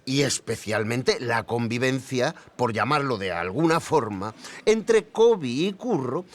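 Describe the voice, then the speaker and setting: A man's voice: medium pitch, lively intonation, a little slow, close to an everyday voice. A man with an accent from Spain playing an academic historian, explaining reflectively with small questioning murmurs. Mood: reflective, explanatory. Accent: Spanish from Spain. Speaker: expert interviewee. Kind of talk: conversational expert interview.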